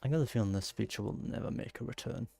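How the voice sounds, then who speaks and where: A man with a relaxed, creaky voice talks through a game in casual commentary. He thinks out loud, muttering and exclaiming to himself. The sound is close and dry, with faint music behind him. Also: fairly low pitch, quick pace, dry recording. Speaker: gaming streamer playing and commenting. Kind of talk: casual live gameplay commentary.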